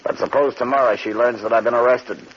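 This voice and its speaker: An actor playing a menacing escaped convict with mock-friendly threats, in 1940s radio drama style. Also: male voice, mid-range voice, steady tone, fast, close to an everyday voice. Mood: mocking menace. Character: smooth-talking, menacing escaped convict, a wayward brother. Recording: dull, hissy 1940s radio broadcast.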